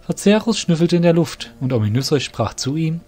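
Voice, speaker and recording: A man's voice: a little high, expressive, fairly quick, darkish tone, faint music behind. A man narrating a scripted fantasy audio drama in a literary storytelling manner.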